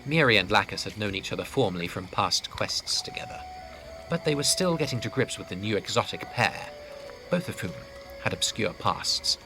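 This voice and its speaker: A man narrating a scripted fantasy audio drama as storyteller, with a gravelly voice. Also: lowish voice, lively intonation, normal speed, background noise. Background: clear background music.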